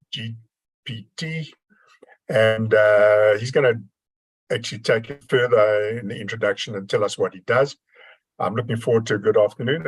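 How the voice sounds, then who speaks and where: A man hosting a webinar, introducing the speaker in a casual, hesitant way with a dry joke. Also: mid-range voice. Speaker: webinar host.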